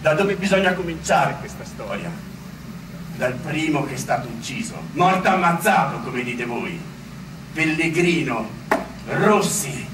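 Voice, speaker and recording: An actor playing a wry, opinionated deputy from 1849 telling a story on stage in an explanatory way. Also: male voice, a little high, expressive, a little slow, noisy background. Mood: wry, disapproving. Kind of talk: theatrical storytelling. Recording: echoey, distant, under loud music.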